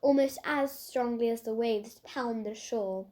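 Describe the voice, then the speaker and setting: A woman reads a cheerful schoolboy in a children's storybook. He is confident and mild, brisk in manner, with a high pitch. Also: a little mellow, lightly acted. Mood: explaining.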